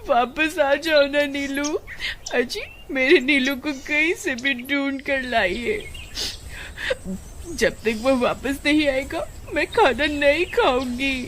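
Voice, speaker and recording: A woman's voice: sits high, slow, a bit bright, pitched above the performer's own voice. A woman voicing a farmer's tender, emotional young wife in a children's cartoon story. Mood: tearful, pleading. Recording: very noisy background.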